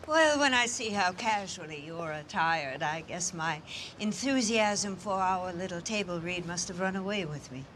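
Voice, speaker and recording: A woman's voice: lowish voice, expressive, normal speed, a bit bright, a little hiss or hum. A middle-aged woman playing a grand, vain film actress in a period TV drama, speaking with mannered, precise, pointed courtesy. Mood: icily gracious. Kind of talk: television period drama acting.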